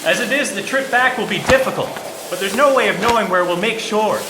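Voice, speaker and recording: A man's voice: high voice, a little fast, close to an everyday voice. A man playing a cautious, firm and matter-of-fact ice boat captain in a historical radio drama. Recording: echoey, noisy outdoor ambience.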